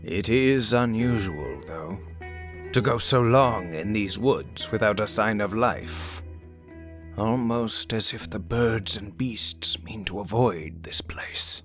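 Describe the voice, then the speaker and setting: A man playing a wise, unhurried old Viking explorer in an audio story, speaking in measured, formal storyteller phrases. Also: lowish voice, a bit bright. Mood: grave, hushed. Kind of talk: audio story character acting. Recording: music behind the voice.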